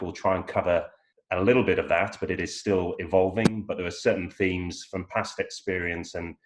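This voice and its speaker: A middle-aged man with an English accent, introducing himself as a professional panelist over a video call. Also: low-pitched. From York, England. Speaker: panelist, lawyer.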